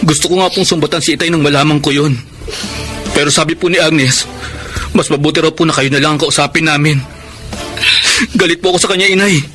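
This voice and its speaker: Seryosong binatang anak, matalas ang boses. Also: male voice, a little high, fairly even intonation, brisk, pauses often, bright, forceful tone, faint music behind. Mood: indignant. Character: earnest young son, indignant protective older brother. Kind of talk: Filipino radio drama acting.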